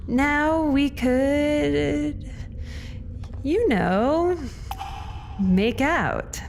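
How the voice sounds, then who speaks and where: A man playing a lovesick, entitled opera phantom in an audio comedy sketch, awkward, halting and boastful. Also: high-pitched, a little hiss or hum, exaggerated voice. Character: lovesick, entitled masked opera phantom, self-proclaimed musical genius.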